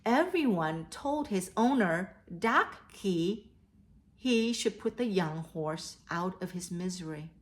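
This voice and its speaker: Slow-paced woman storyteller for kids. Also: on the low side, animated. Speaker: host of a children's read-aloud storytelling show. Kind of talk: storytelling and advice addressed to children.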